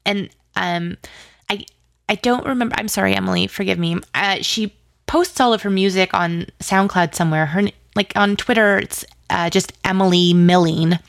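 Woman, mid-range pitch, dry recording, interview guest, casual chat, enthusiastic, gushing, says "like" often. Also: moderate pace, gravelly, dry recording. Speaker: interview guest, audio drama writer and producer.

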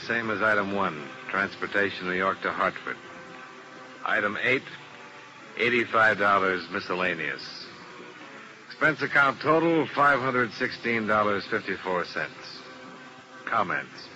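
An actor playing a hard-boiled insurance investigator, narrating dryly in a 1950s radio drama. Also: male voice, fairly low pitch, rough recording. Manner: dry, matter-of-fact. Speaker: detective narrator.

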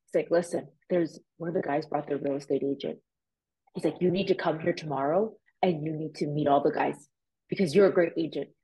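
A woman in her thirties from Puerto Rico, raised in Miami, speaks in a somewhat low register. She talks casually and chattily as an interview guest, filling her stories with "like" and "you know". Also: fairly quick, breathy edge, rough recording. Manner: chatty, animated, many fillers like "like" and "you know". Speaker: real estate agent, guest.

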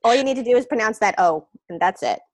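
A woman, a wellness coach speaking as an interview guest, relaxed and meandering with hesitant fillers. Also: a little high, fast talker, no echo. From New York. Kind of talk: casual interview.

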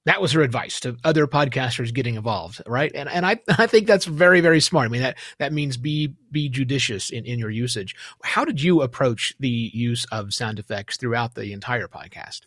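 A man's voice: mid-range voice, animated, moderate pace, few pauses, a bit bright, no room sound, studio quality. A man hosting a podcast interview in a casual, conversational and enthusiastic way. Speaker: podcast host interviewing a guest.

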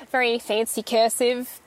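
A woman's voice: fairly high pitch, flat delivery, slow. A woman playing an anxious, over-polite young grocery store employee in an audio drama, rambling hesitantly and stammering as she corrects herself. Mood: nervous, chatty. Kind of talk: naturalistic audio fiction monologue, like a voicemail.

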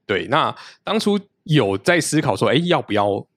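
A man's voice: medium pitch, big pitch swings, moderate pace, fairly bright tone, no echo, studio quality. A man, explaining things at length as a podcast guest, restarting his sentences often. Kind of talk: casual conversational interview.

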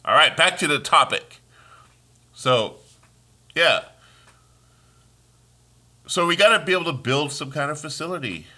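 A man's voice: a little high, animated, measured pace, pauses often, pushed and bright. A congested man hosting a solo podcast, talking in a heated, rambling rant with many restarts. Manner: heated, ranting, trails off and restarts. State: congested, sniffling. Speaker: podcast host. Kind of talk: casual solo podcast monologue.

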